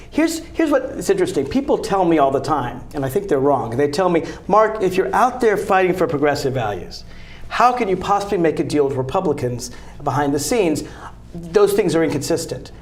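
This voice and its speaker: A man with a fairly high speaking voice makes his campaign pitch in a TV studio interview. He talks fast and emphatically, pressing each point like a fighter. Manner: emphatic, combative, rapid-fire argument. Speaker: congressional candidate. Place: local cable TV studio.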